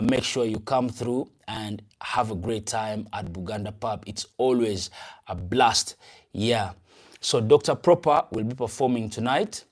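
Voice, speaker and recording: A man's voice: fairly low pitch, darkish tone. A young man with a Ugandan English accent, hosting a casual livestream, greeting viewers and repeating phrases in an upbeat way. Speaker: channel host and event promoter.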